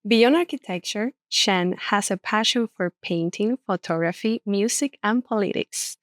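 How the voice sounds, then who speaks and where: A woman's voice: medium pitch, moderate pace, dry recording. Woman hosting a dry studio podcast. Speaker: podcast host.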